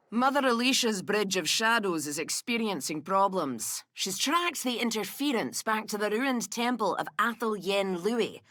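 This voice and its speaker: A woman's voice: medium pitch, a bit bright. A woman voicing a haughty, scornful female elf ranger in a video game, speaking in a dry, sardonic, disdainful way. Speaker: voice actor. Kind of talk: video game character voicelines.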